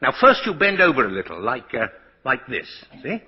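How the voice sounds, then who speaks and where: A man's voice: medium pitch, sing-song, normal speed, slightly rough. An actor playing a kindly, whimsical old man who claims to be Santa Claus, in 1940s radio style. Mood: gentle, playful. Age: older. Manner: chuckling, gentle, whimsical. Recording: muffled vintage 1940s radio recording.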